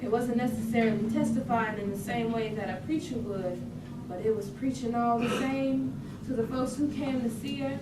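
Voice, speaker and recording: A woman acts a devoted daughter with a fairly high voice, testifying in lyrical folk dialect. The staged reading is picked up at a distance, under loud background noise. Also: natural voice. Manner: storytelling in Southern-flavored folk dialect. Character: devoted, admiring daughter testifying about her memories. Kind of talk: staged reading of a poetic monologue. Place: indoor room at a staged reading. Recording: echoey, noisy room, distant mic.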